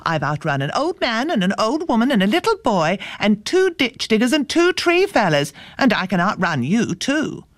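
A woman's voice: on the high side, sing-song, normal speed, hardly any pauses, no echo, pitched above the performer's own voice. A woman voicing a boastful little runaway johnny cake in a storybook, reciting his boasts in a sing-song way. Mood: boastful, gleeful.

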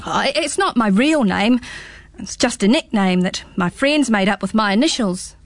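A woman's voice: medium pitch, animated, normal speed, dry recording, lightly acted. Woman doing a startled, stammering young man. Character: bewildered young man, nervous and polite. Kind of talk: storybook read-aloud.

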